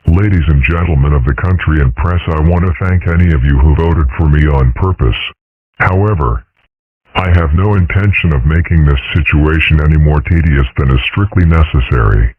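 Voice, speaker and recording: A man's voice: extremely low pitch, normal speed, husky, no room sound, phone-quality sound. A man voicing a grandiose, melancholy dragon father in a pompous, theatrical comic dub. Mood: stately. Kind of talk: comic dub character acting.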